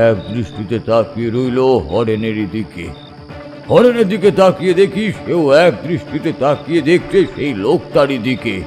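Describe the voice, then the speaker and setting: An actor playing an older village man who recounts an eerie tale from his youth in a suspenseful, deliberate manner with dramatic pauses. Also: mid-range voice, darkish tone, lightly acted. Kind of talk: dramatic horror audio story narration.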